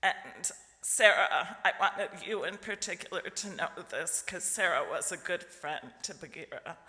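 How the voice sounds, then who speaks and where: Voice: mid-range voice, animated, measured pace, pushed and bright. A congregant from Lithuania sharing at a church service, heartfelt and often chuckling. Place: church sanctuary. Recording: long church reverb.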